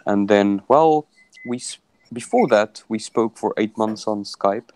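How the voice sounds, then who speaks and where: A man's voice: fairly low pitch, a little mellow. Hesitant man speaking English as a second language. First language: Afrikaans. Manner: hesitant, halting, many restarts. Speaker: guest. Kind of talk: casual video call chat.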